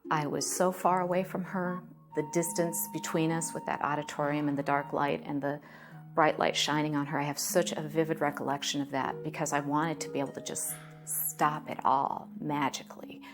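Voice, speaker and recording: A woman telling a personal story as a documentary interviewee, deliberately and in short phrases. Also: low voice, little pitch movement, music in the background.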